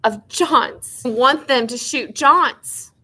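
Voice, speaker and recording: A woman's voice: high voice, drawn-out words, sharp tone, breathy edge, pitched above the performer's own voice, heavily acted. A woman voicing a remorseful, tearful young country woman in a storybook, pleading in folksy words.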